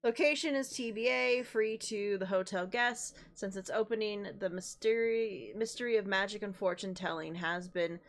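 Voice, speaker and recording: A woman game streamer, hoarse and losing her voice, chatting casually and reading in-game notices aloud with frequent laughs and the odd swear. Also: medium pitch, moderate pace, hardly any pauses.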